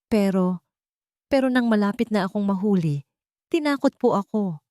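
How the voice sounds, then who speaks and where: A woman voicing a frightened woman in her thirties who confesses in a halting, trembling voice. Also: medium pitch, expressive, warm tone, dry recording, studio quality, pitched above the performer's own voice, close to an everyday voice. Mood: fearful.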